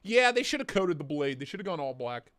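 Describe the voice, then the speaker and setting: This is a young man talking casually on a livestream, his voice hoarse because he is losing it. He sits high and jumps all over in pitch, cursing and breaking into quick mock voices. The sound is dry, close and has no room echo. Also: very animated, fairly bright tone. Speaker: livestream host.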